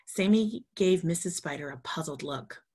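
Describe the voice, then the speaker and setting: A woman's voice: deep voice, lively intonation, moderate pace, warm tone, husky. A woman storytime reader, reading a children's storybook aloud expressively and talking to young children.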